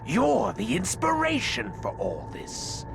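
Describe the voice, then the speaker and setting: An actor playing a menacing, mocking orc necromancer in a video game, theatrical and gloating. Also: male voice, sits high, huge pitch swings, slow pace, gravelly, full character voice. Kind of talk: video game villain acting. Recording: loud music behind the voice.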